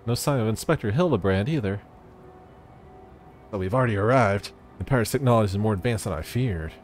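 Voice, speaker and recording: A man voicing an excitable, pompous tabloid reporter with a bombastic, dramatic delivery for a video game. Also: on the low side, a little fast, slight background noise, natural voice. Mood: alarmed. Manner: bombastic, declamatory, stammering in alarm.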